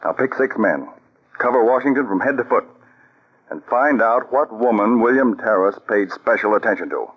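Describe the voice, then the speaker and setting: An actor playing a shrewd counterspy chief in a 1940s radio drama, speaking with a calm, authoritative, deliberate manner. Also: male voice, mid-range voice, natural voice. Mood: calm command. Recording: dull lo-fi old radio recording.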